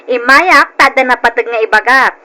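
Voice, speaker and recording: An actress playing a grasping, insistent sister-in-law who makes a show of sympathy, in an old-time Ilocano radio drama. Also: female voice, on the high side, moderate pace, sharp tone. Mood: cold, demanding.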